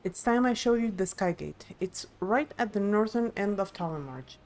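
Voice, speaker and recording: A woman's voice: mid-range voice, expressive, normal speed, a little mellow, natural voice. A woman voicing a proud, eager young princess who guides visitors in a video game, earnest and explanatory. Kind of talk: live video game dialogue reading.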